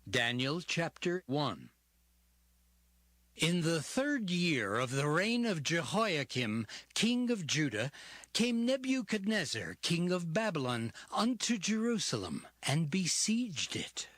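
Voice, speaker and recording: Male scripture narrator, solemn, very unhurried, lively intonation, music underneath. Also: fairly high pitch, no room sound.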